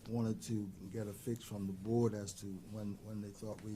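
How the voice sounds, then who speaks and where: A man's voice: fairly low pitch, level tone, normal speed, dark tone, slight background noise. A man giving a town manager's report at a board meeting, hesitating with frequent ums. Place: council chamber.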